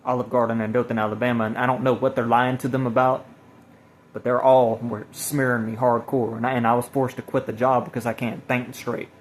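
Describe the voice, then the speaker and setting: Halting man with a flat delivery. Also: medium pitch, a little hiss or hum. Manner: halting, repetitive, restarting phrases. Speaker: self-described evangelist recording a personal video. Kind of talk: rambling spoken testimony to camera or phone.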